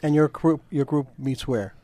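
A man's voice: a little high, normal speed, warm tone. A man with a New York accent hosting a radio talk show, joking and chatty with his guest. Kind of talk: radio interview.

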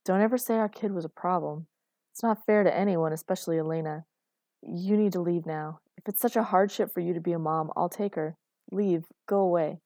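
A woman's voice: on the low side, brisk, warm tone, dry recording, studio quality, close to an everyday voice. A woman voicing a caring, put-upon father in his thirties, earnest and protesting, in audiobook dialogue. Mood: angry, firm.

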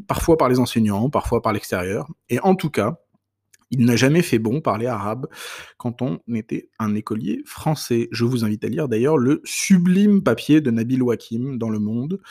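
Middle-aged male podcast host, mid-pitched voice, deliberate and emphatic, pauses often to find words. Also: a little mellow. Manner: measured, emphatic, frequent hesitations. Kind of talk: opinion monologue.

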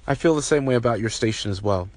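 A man's voice: mid-range voice, animated, fast, a little mellow. A man hosting a faith podcast, talking earnestly and loosely, with fillers and restarts. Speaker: podcast host. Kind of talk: casual faith podcast talk.

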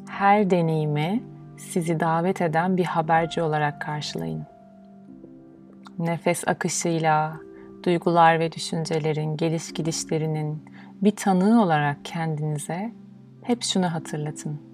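A woman guiding a meditation in a soothing, measured, instructional way, leaving pauses between phrases. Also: low-pitched, a little mellow. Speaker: meditation guide. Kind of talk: guided yoga nidra meditation, instructional. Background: music.

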